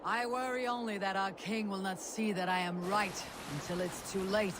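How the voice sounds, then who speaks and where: An actor playing a proud, stubborn Viking raider leader with a dry, commanding manner in a video game cutscene. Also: male voice, high voice, steady tone, moderate pace, lightly acted. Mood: defiant, dry. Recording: noisy, water and boat ambience.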